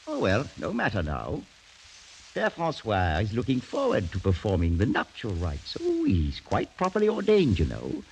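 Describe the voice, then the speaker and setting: An actor playing a cruel, scheming older aristocratic uncle in a mid-century radio drama, speaking with mock courtesy and theatrical relish. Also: male voice, fairly low pitch, huge pitch swings, moderate pace, gravelly, full character voice. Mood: sly amusement. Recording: noisy vintage radio recording.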